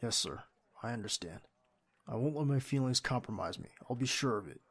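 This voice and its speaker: A man's voice: mid-range voice, lively intonation, fairly quick, a bit bright, slightly rough. A man playing a young secret agent who leads his team and is a devoted boyfriend, in an audio roleplay drama. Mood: controlled, focused. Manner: clipped military radio commands.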